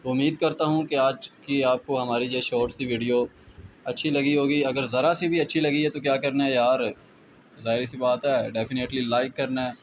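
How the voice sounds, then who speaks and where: A man with a Pakistani accent giving a casual step-by-step app tutorial as a YouTuber, addressing viewers as friends. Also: medium pitch. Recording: muffled lo-fi with clear background noise.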